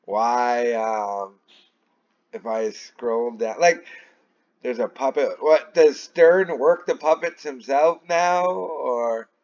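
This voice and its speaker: Male online commentator, unhurried and rambling, puzzled tone, casual delivery, muffled low-fidelity recording. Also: darkish tone. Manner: baffled, rambling, repeats phrases for emphasis. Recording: dull, lo-fi sound.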